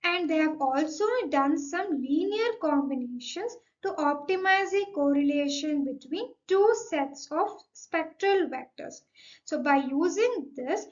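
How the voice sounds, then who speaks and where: A young woman gives a formal, scripted academic presentation, explaining point by point as a student presenter. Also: high voice. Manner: formal, explanatory, starts sentences with "so". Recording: dull, muffled lo-fi audio.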